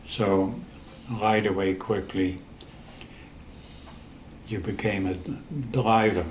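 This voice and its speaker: Slow, deep-voiced older Dutch-accented man. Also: flat delivery, echoey room, slight background noise. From the Netherlands. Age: 80s. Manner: halting, with many restarts and pauses. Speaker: interview guest. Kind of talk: storytelling in a casual interview.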